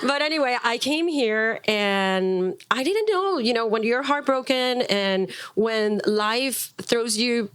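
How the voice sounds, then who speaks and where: A middle-aged woman, a native Spanish speaker, introducing herself to a group as a wellness coach in a chatty, animated, self-deprecating way. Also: fairly high pitch, takes it slowly, few pauses, clean tone, dry recording. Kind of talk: informal spoken introduction before a live group.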